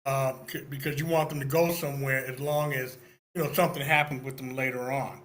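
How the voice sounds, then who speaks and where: A man giving casual critique on an online call, halting as he thinks aloud. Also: mid-range voice, little pitch movement, a bit bright. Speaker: participant giving writing feedback.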